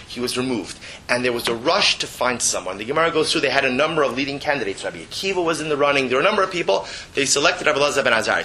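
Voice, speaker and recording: High, bright-voiced man teaching religious text. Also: slight background noise, poor audio. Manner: emphatic, repeats phrases, rhetorical questions. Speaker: rabbi giving a Torah class. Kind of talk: religious text lecture, reading and translating.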